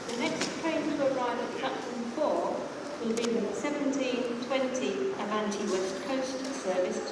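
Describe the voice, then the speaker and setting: An English woman gives formal, measured automated railway announcements in stitched-together phrases over a station public address system. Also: on the high side. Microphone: distant recorder picking up loudspeakers. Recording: echoey station tannoy from far off, noisy. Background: clear station ambience noise.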